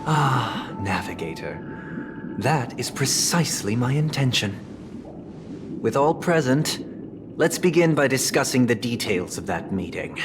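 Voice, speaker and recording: An actor playing a smooth, idealistic young male family leader who speaks in a measured, eloquent way, recorded as a game dub over a loud score. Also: medium pitch, very animated, over music.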